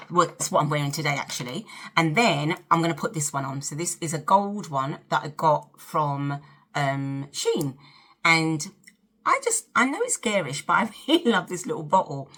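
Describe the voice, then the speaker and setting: A woman's voice: low voice, a bit bright. A woman in her fifties with a South London accent, chatting casually and directly to her viewers as she hosts a get-ready-with-me vlog. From South London, England. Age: 50s. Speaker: vlog host. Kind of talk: casual chat to camera during a get-ready-with-me.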